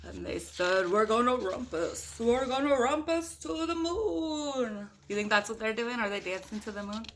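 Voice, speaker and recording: A woman's voice: on the high side, animated, normal speed, fairly bright tone, slight background noise. A woman reading a picture book aloud in a playful, chatty way, with casual asides to young children. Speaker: storybook reader.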